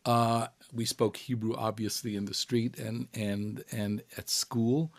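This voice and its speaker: Older Israeli-raised man, halting recollection. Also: mid-range voice, fairly even intonation. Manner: halting, many fillers and restarts. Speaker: cantor, interviewee. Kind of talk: reflective interview answers.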